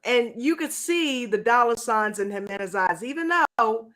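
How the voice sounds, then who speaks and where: A woman casually reviewing a reality show on a livestream, slangy and animated, acting out quotes in a mocking tone. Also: fairly high pitch, moderate pace. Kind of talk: casual reaction livestream chat.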